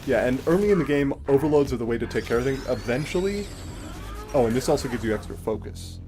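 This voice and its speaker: A man's voice: medium pitch, lively intonation, fast, darkish tone. Man explaining a video game over music. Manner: explanatory, casually sweary. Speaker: let's play host. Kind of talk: casual game commentary.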